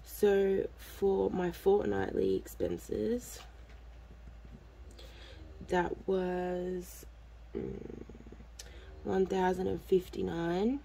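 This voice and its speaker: A woman's voice: on the low side, huge pitch swings, very slow, gravelly, long pauses, warm tone, a little hiss or hum, low-quality recording. A woman, talking casually to her viewers, congested and coughing, her throat a bit off, rambling with lots of ums. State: lingering cough and congestion after illness. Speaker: vlogger, budgeting channel host.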